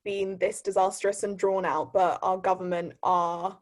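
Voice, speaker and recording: A young woman being interviewed, casual and hesitant, laughing often and pausing on "um". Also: medium pitch, little pitch movement. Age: young adult. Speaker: interview guest. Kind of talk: casual interview over a video call.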